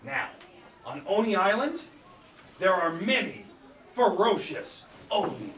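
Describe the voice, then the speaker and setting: A woman tells a children's story live with big swoops in her voice and theatrical, drawn-out phrasing. She is heard from a distance in an echoey room, performing for a young audience. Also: mid-range voice, very animated, a bit bright. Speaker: storyteller for a children's puppet show. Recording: echoey room, far from mic, audience noise. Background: audience of a live show.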